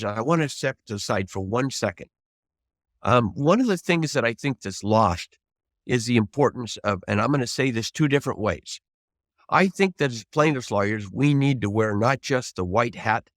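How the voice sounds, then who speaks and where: A man in his seventies, a trial lawyer teaching advocacy in an emphatic, deliberate, conversational way with rhetorical prompts. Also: mid-range voice, no room sound, clean studio sound. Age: 70s. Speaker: trial lawyer, interview guest.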